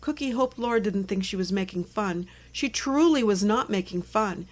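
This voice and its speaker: A woman narrates a scripted storybook for young children. Her voice sits at a middle pitch, and she phrases everything simply so early readers can follow. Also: moderate pace.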